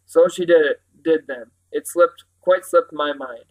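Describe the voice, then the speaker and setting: A man acts out an older village housekeeper from a storybook. She is fond, cheerful and bustling, and she chatters away in homely rustic turns of phrase, pitched fairly high for a male voice. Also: a little slow, darkish tone, natural voice, poor audio. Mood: fond, apologetic.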